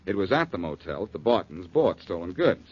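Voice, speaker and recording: Wry american radio detective from the 40s, lowish voice that moves around a lot, muffled old recording. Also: male voice, sing-song, a little fast. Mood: wry, explaining. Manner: assured, clipped, wisecracking. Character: confident male detective, cool, wry and unflappable. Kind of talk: 1940s radio detective acting.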